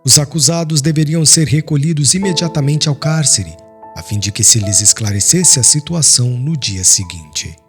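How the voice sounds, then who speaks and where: A man's voice: a little high, moderate pace. A man with a Brazilian accent reads literary narration solemnly, as an audiobook narrator, over music. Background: soft music.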